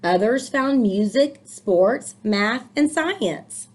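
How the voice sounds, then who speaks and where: Animated, higher-voiced woman reading a storybook. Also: takes it slowly. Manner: expressive, encouraging, explanatory asides to young listeners. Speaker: teacher reading a picture book aloud. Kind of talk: storybook read-aloud with commentary for children.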